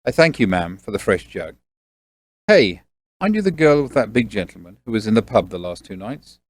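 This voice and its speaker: A man playing a polite, nervous, well-bred young gentleman who has been drinking, in an audio drama. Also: fairly low pitch, sing-song, a little fast. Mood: polite, shocked. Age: young adult.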